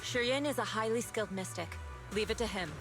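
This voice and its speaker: A woman voicing a sharp, resolute young heroine in a video game, with an earnest, deliberate and pointed delivery. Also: medium pitch, natural voice. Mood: firm. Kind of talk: dramatic video game voice acting. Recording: loud music behind the voice. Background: dramatic game music.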